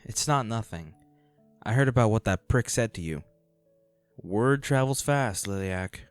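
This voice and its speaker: Man acting carefree flirty rogue, animated swooping delivery, teasing, coaxing, music behind, fantasy audio drama. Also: on the low side, unhurried, clear, resonant tone, no echo. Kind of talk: romantic fantasy audio roleplay.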